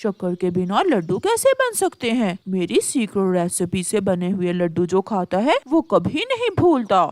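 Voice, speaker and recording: A woman voicing a male pigeon in a moral-story cartoon, friendly yet slyly vengeful, with an expressive delivery. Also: medium pitch, moderate pace, few pauses, no echo.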